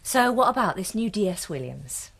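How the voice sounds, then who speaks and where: An actress playing a bitter, suspicious wife with a terse, sardonic manner and a British accent in a naturalistic radio drama. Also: female voice, medium pitch, big pitch swings, moderate pace, creaky, a bit bright, slightly rough, exaggerated voice. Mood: probing.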